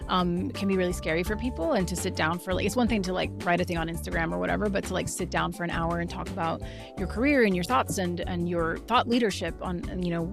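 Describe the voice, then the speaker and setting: A woman's voice: lowish voice, fast talker, vocal fry, music in the background. A woman hosting a podcast in a chatty, filler-heavy way, often using "like". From immigrant to the US. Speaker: podcast host. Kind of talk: casual interview chat.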